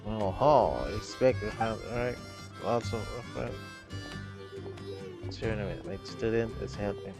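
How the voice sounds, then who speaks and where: Low-voiced Filipino man streaming a game. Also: slow, long pauses, music in the background. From Philippines. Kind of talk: casual game commentary.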